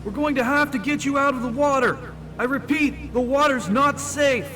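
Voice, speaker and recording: A man acts out an urgent man shouting across the sea in an audio drama. The voice is pushed very high and sounds bright and forceful, with faint music behind it. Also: moderate pace. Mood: commanding, urgent. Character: shouting male rescuers and survivors at sea.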